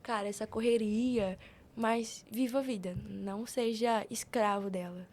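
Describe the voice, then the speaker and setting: A woman's voice: a little high, steady tone, unhurried, darkish tone, a little hiss or hum. A young woman with a Brazilian Portuguese accent, speaking casually and emphatically as a podcast guest, with slangy repetition. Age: young adult. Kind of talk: casual, chatty interview answers.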